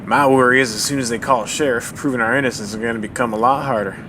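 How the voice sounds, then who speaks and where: A man voicing a calm, practical male investigator in an old-time style radio mystery drama. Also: mid-range voice, fast, natural voice. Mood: tense. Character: steady male investigator on a train. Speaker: voice actor. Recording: noisy radio drama recording.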